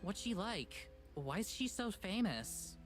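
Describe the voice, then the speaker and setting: A woman's voice: medium pitch, very animated, takes it slowly. Young female investigator, mid-range voice, casual and persistent, game dialogue over faint music. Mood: curious, probing. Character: upbeat, persistent young woman investigator and companion. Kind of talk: visual novel voice acting.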